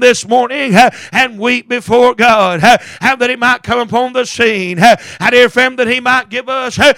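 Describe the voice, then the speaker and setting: A man's voice: very high voice, gravelly, bright, forceful tone, no room sound. A man preaching a fervent, chanted revival-style sermon in a strained voice, punctuating his phrases with gasped grunts and a repeated term of address.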